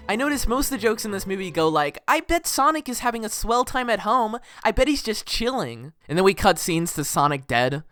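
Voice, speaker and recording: A YouTuber giving a casual, excitable film review in informal slang, with playful mock outrage and exclamations. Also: mid-range voice, fairly quick. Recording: voice over background music. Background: music.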